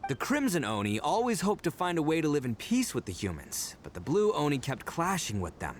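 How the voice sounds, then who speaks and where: A man's voice: fairly high pitch, wildly swooping pitch, brisk, slight background noise. A man voicing a boisterous, proud young male Oni gang leader in a game cutscene, given to hearty laughs. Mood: storytelling. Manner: boastful, hearty laughs, earnest when serious.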